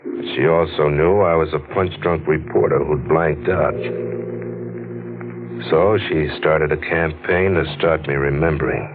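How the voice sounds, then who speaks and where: A man's voice: rumbling low, measured pace, background noise. An actor playing a cynical, washed-up, punch-drunk newspaperman in his thirties, wry and slangy, in a 1940s radio drama. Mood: grim, resigned. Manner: hard-boiled, wry, slangy. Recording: muffled vintage radio, music behind.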